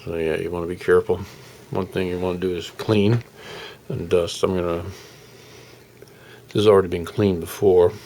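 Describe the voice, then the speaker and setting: Low, creaky-voiced man, halting and folksy. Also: little pitch movement, mellow, slight background noise. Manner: halting, rambling, folksy explaining. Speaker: hobbyist radio restorer presenting his project. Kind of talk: casual unscripted hands-on demonstration.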